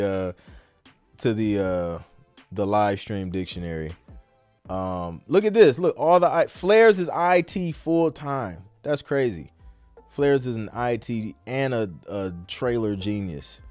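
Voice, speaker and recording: A man's voice: medium pitch, darkish tone, no echo. A man hosting a casual livestream Q&A about music production, talking in an informal, slangy, conversational way. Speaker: podcast host and music producer.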